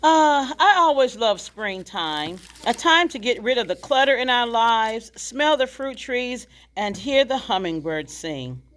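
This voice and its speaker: A woman plays a gracious older widow hosting lady friends in a stage play. Her voice sits fairly high and moves with lively, expressive swings. She is genteel and chatty. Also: a little slow, few pauses. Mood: wistful, gracious. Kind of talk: stage play table read.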